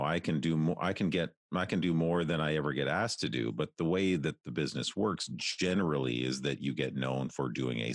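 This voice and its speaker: A Canadian man who works as a voice actor, talking casually in an interview with a deep, resonant voice. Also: dry recording, studio quality. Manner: relaxed, chatty, with frequent restarts. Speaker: voice actor guest.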